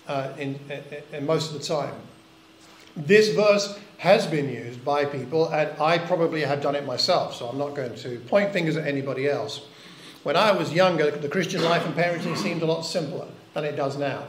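A man's voice: fairly high pitch, a little hiss or hum. An older man preaching a sermon in church, earnest and reflective, often asking rhetorical questions. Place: church hall. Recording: echoey church recording.

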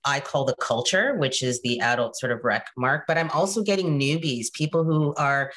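A woman in her forties from Canada, talking casually on a video call, animated and chatty, often checking in with "right?" and "you know". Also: very deep voice, normal speed. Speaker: guest presenter. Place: home room.

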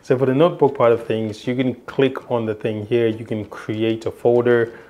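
A man's voice: mid-range voice, little pitch movement, moderate pace, dark tone. A young man, not a native English speaker, casually walking through a device's features in a small indoor room, rambling with many fillers and restarts. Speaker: tech reviewer. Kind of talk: casual product demo.

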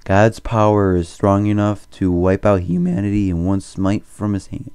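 A man's voice: fairly low pitch, moderate pace, heavy background noise. A man reading scripted 1930s-style propaganda film narration in a solemn, formal, preachy manner. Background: music.

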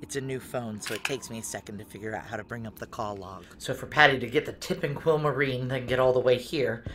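A woman's voice: rumbling low, quick pace, noisy background. An actress playing a tough, guarded, suspicious woman on the run in a noir audio drama, speaking in clipped, hard-boiled phrases. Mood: cold, accusing. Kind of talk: noir audio drama acting with first-person narration.

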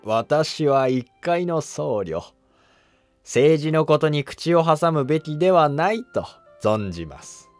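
An actor playing a humble, cautious monk who advises an emperor in formal, deferential court speech in a historical drama. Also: male voice, on the high side, sing-song, slow, no echo, soft background music. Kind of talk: Japanese historical drama dialogue.

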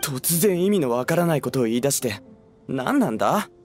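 Male actor as a scornful young commoner, mid-pitched, expressive and curt, anime game, light music behind. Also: normal speed, lightly acted. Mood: wary. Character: irritable, hostile young male townsman.

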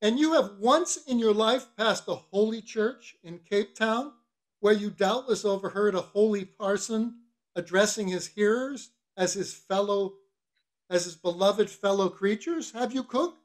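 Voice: medium pitch, measured pace, close to an everyday voice. A reader voicing a bossy, mocking whaling-ship officer in an audiobook, questioning with teasing mock solemnity. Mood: bossy, scolding. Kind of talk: literary audiobook character reading.

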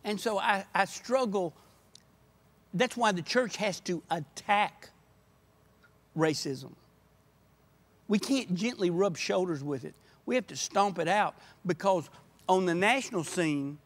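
A man's voice: sits high, slow pace, pauses often. An older man speaking earnestly and passionately as a church member in a podcast conversation. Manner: passionate, emphatic. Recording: podcast audio.

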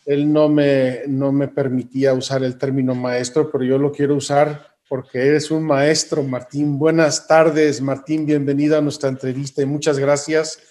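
A man, a priest, hosting an interview in a courteous, measured way and thanking his guest warmly. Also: a little high. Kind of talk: slow, formal interview hosting.

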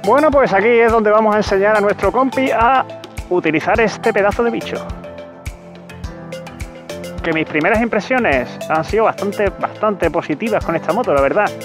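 A man's voice: sits high, normal speed, over music. A man reviewing a motorcycle while riding it through city streets, talking casually and joking at his own expense, recorded on a helmet camera mic. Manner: chatty, joking, laughs at himself. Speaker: motovlogger.

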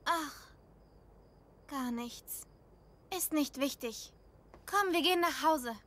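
High-voiced caring schoolgirl. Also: slow, a bit bright, a little hiss or hum. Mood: hesitant. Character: caring teenage schoolgirl, hesitant best friend.

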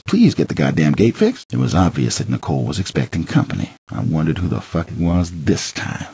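A man reading a first-person memoir as an audiobook narrator, theatrical, swinging between hushed and heated delivery, with a husky, gravelly voice. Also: low voice, dry recording, muffled recording.

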